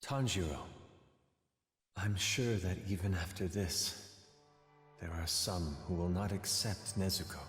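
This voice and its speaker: Soft, deep, serene master. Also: male voice. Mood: serene, encouraging. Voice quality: soft, soothing. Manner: measured, calm, persuasive. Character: serene, gentle male master of demon slayers. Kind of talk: anime game dialogue. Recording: very echoey hall, music behind.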